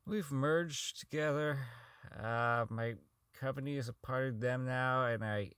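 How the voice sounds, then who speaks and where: A man's voice: medium pitch, a little slow, a bit bright, smooth, dry recording, close to an everyday voice. A man playing a socially inept, bitter company owner in an audio drama, rambling and stammering through his log as he slides toward madness. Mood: bitter, weary. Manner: stammering, rambling, self-loathing, foul-mouthed.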